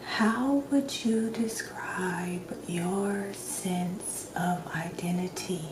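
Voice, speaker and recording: A woman speaking softly and gently, often slipping into a whisper, in a measured ASMR roleplay as a doctor. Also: lowish voice, over music. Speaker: ASMR creator playing a doctor. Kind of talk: soft-spoken ASMR roleplay. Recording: roomy echo with clear background noise.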